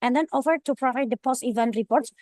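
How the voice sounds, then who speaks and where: A woman's voice: on the high side, quick pace, a little mellow, no echo, clean studio sound. Hesitant Indonesian woman presenting online. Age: young adult. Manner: hesitant, many fillers and restarts.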